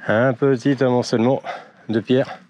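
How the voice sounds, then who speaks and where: A man's voice: mid-range voice, fairly even intonation, normal speed, darkish tone, dull audio, a little hiss or hum. A man with a slight cold, narrating a casual walking vlog on forest trails, chatty and rambling with many fillers and wry jokes. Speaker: vlogger walking with his dog.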